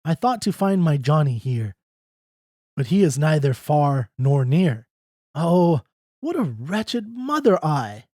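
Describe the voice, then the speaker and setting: A reader voicing a distraught older mother, pleading and wailing in high pitch. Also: very animated, slow, dark tone, no echo, clean studio sound.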